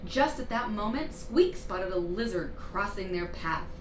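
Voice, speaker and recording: Female storybook reader, mid-pitched, unhurried, expressive emphasis for young listeners; off-mic, noisy room sound. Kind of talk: expressive children's read-aloud narration. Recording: roomy, distant mic, clear background noise.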